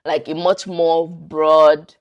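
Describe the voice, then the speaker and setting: Low-voiced chatty woman vlogging. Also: slow pace, clear, resonant tone. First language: English. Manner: chatty, laughs often, lively storytelling. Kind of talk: casual vlog storytelling.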